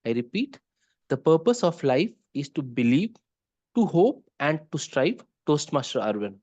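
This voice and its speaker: A man's voice: fairly high pitch, sing-song, a little slow, darkish tone, no echo. A man hosting a speaking-club meeting on a video call, explaining the rules in an orderly way with a few light fillers. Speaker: meeting host leading impromptu speaking.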